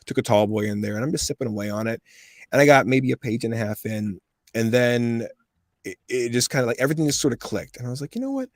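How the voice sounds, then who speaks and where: A man's voice: medium pitch, fast, no echo, clean studio sound. A man in his thirties, a voice actor telling stories in a casual interview and acting out the voices he quotes. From USA. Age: 30s. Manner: animated storyteller, acts out quoted voices. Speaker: voice actor guest.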